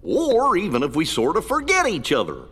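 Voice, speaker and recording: A man's voice: sits high, huge pitch swings, normal speed, a bit bright, breathy edge, heavily acted. A man voicing a gangly, good-natured cartoon dog in a video game, folksy and earnest with a goofy drawl. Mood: earnest, encouraging. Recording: long reverb, music behind.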